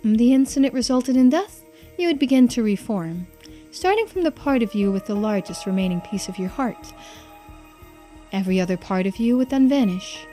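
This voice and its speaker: A woman voicing a stern, all-knowing authority on immortality in a visual novel game, with formal, deliberate pronouncements. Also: fairly high pitch, animated, mellow, faint music behind, close to an everyday voice. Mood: grave, matter-of-fact.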